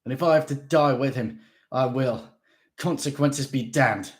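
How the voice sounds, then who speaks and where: A man's voice: medium pitch, unhurried, voice pushed up, natural voice. A man reading aloud, voicing an unhinged, vengeful young man who snarls and sneers.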